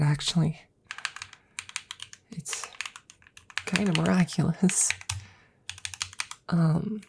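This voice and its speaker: A woman playing an anxious, devoted scientist in an ASMR audio roleplay, speaking in a breathy, gravelly, intimate near-whisper with halting stammers. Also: fairly low pitch, dark tone, exaggerated voice. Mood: nervous, encouraging. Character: anxious, devoted female scientist tending her best friend.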